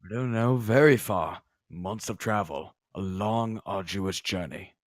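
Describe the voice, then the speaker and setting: A very low, close and dry male voice of an actor at a table read as an enormous old-order paladin. He is gruff and terse, and speaks like someone used to command. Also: a little slow, dry recording, natural voice. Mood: gruff, businesslike.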